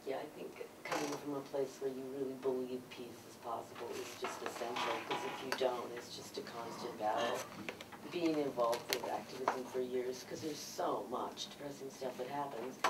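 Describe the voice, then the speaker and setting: A panel moderator reflecting conversationally on activism. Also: mid-range voice. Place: church conference hall. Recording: distant, noisy conference recording.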